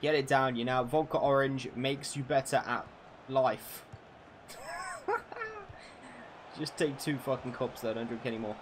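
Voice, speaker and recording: A man's voice: medium pitch, very animated, a little slow, pauses often, background noise. Chatty, swearing young male streamer. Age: 20s. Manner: chatty, swears freely, answers viewers' questions. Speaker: gaming streamer. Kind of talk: casual live-stream chat over a football game.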